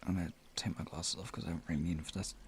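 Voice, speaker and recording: A man with a deep voice hosting a relaxation stream, chatting informally and gently giving guided instructions. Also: little pitch movement, fast, slight background noise. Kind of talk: casual chat moving into guided relaxation and hypnosis instruction.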